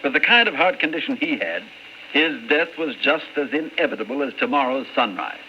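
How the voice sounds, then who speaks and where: A man's voice: mid-range voice, lively intonation, brisk, close to an everyday voice. Formal physician played by a man, muffled radio. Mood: matter-of-fact. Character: formal, measured, matter-of-fact male physician. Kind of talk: old-time radio drama acting. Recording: muffled, faintly noisy old radio recording.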